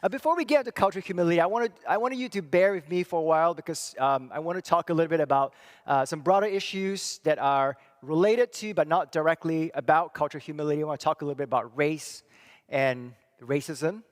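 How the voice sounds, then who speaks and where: A middle-aged man from Singapore giving a church talk, thoughtful and halting, with many fillers and restarts. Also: a little high. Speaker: church speaker and psychology professor. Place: church hall. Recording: long reverb of a hall.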